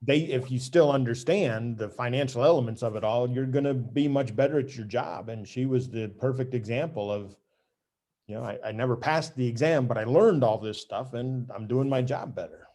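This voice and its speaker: A man who teaches finance, telling a story in a relaxed, measured way. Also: mid-range voice. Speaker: CMA instructor. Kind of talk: casual storytelling conversation.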